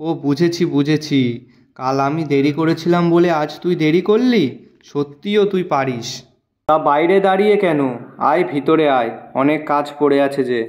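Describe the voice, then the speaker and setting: A man voicing an honest, gentle shopkeeper who pleads with his friend in a moral-story cartoon. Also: on the high side, moderate pace, close to an everyday voice. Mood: friendly. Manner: earnest, pleading, lamenting.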